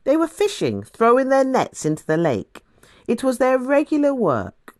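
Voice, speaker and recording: A woman reading scripture as a dramatic storyteller, deliberate, with emphatic pauses. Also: high voice, huge pitch swings, no room sound, clean studio sound. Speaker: scripture reader. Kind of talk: expressive scripted Bible narration.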